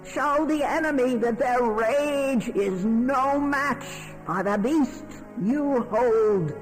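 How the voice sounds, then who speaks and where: An actor playing an ominous otherworldly guide, solemnly and portentously narrating a dark fantasy game. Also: male voice, extremely high pitch, slow, soft background music, poor audio. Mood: urgent, rousing.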